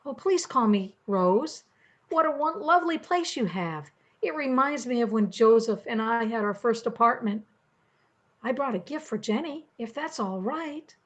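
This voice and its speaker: A woman's voice: on the high side, animated, normal speed, natural voice. An older woman playing a polite but firm grandmother in an amateur radio play. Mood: gracious. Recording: slightly dull, lo-fi sound.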